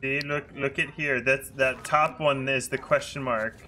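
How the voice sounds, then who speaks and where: A man chatting excitably and playfully with crewmates as he plays a game. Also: mid-range voice, unhurried, slight background noise, low-quality recording. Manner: exclamatory, playful. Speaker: gaming streamer, crew captain. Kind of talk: excitable gameplay chat with crewmates. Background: game sound effects and ambience.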